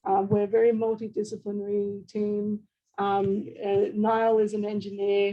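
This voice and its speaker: Croaky, mellow-voiced woman on a video call. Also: medium pitch, poor audio. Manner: casual, filler-heavy. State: hoarse late at night. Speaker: invited speaker. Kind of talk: online lecture.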